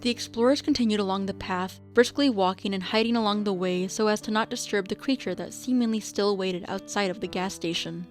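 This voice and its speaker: A woman's voice: medium pitch, brisk, hardly any pauses, soft background music. A woman narrating a scripted radio drama as its storyteller.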